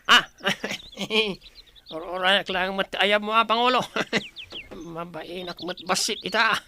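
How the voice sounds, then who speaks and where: A male actor as a loyal young warrior in a radio drama. The voice is high and piercingly bright, eager, with faint noise behind it. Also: steady tone, takes it slowly, heavily acted. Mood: deferential. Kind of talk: Ilocano radio drama acting.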